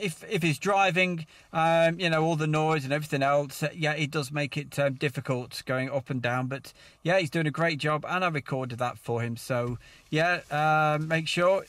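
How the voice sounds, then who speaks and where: A man's voice: fairly high pitch, a bit bright, a little hiss or hum. A man chatting casually as controller of a CB radio net, in a hesitant, chatty way. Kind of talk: casual amateur radio chat. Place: mobile radio setup.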